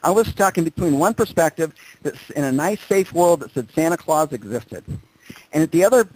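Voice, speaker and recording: A man speaking as a motivational presenter, telling personal stories and driving points home with emphatic repetition and dramatic pauses. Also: on the high side, poor audio. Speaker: motivational speaker presenting on a group conference call. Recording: conference call audio.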